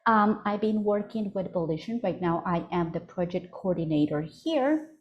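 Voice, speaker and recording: Webinar presenter: woman with an Ecuadorian Spanish accent, lowish voice, halting delivery, video call audio. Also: animated, lo-fi sound, slight background noise. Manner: hesitant, many fillers and self-corrections. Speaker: project coordinator presenting a webinar. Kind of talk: virtual presentation. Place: home office in Vancouver.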